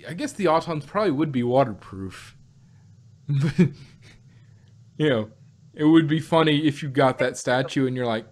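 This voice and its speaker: A man podcast host in casual, joking banter, rambling through stories as he goes. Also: fairly high pitch. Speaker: podcast host reviewing audio drama.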